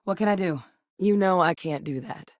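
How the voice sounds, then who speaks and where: Actress as game heroine, woman scientist-soldier, lowish voice, earnest, straight-talking, dry wit, dry studio sound. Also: normal speed, dry recording, close to an everyday voice. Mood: matter-of-fact. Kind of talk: video game voice acting.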